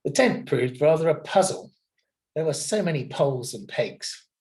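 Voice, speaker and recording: Animated man reading a children's story. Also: fairly high pitch, normal speed. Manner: dramatic, expressive. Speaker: children's story reader at a primary school. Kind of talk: expressive scripted storybook narration.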